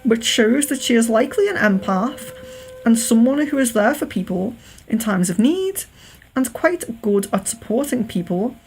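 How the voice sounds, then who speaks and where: An Englishwoman with a faint Liverpool accent hosts a palm reading livestream. She speaks slowly and carefully in a deliberately clear telephone voice. Her talk is loose and unscripted, full of ums and small restarts. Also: on the high side, darkish tone, rough recording. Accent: Liverpool, slight. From England. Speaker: psychic livestream host.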